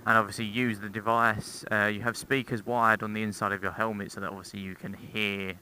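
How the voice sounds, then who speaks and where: A man's voice: lowish voice, flat delivery, fairly quick, low-quality recording. A young man talking casually and informally into a helmet intercom microphone while riding a motorcycle, rambling through a review with some swearing and self-mockery. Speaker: motorcycle vlogger. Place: outdoors, riding a motorcycle on roads. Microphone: intercom microphone inside a helmet. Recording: helmet intercom mic with loud engine noise. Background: motorcycle engine and road noise.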